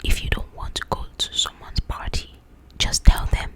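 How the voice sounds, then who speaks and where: A woman's voice: normal speed, a bit bright. Whispering woman doing ASMR. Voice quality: breathy unvoiced whisper. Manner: confiding, conversational, checks that the listener follows. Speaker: host of an ASMR book channel. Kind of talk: ASMR, whispered chat about book highlights.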